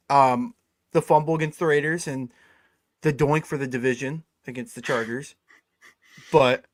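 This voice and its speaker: A man's voice: on the high side, no room sound. Laid-back young man, dry sound. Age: 20s. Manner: laid-back, says "dude" a lot. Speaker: co-host. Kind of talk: casual sports chat.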